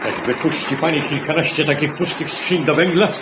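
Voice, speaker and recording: An actor playing a talkative, grumbling gentleman lawyer with an emphatic, colloquial manner in a 1970s radio play. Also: on the high side, a little fast, close to an everyday voice. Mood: exasperated. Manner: complaining, colloquial, emphatic repetition. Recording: noisy, echoey old radio recording.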